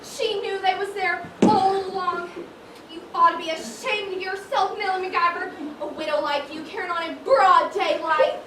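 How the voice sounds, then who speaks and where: A woman's voice: very high-pitched, steady tone, normal speed. A woman playing a brash, suspicious mature customer with blunt, folksy speech in an amateur stage comedy. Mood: scolding. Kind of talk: amateur one-act stage comedy acting. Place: stage in a large echoey room. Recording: echoey stage, noisy, far-off mic.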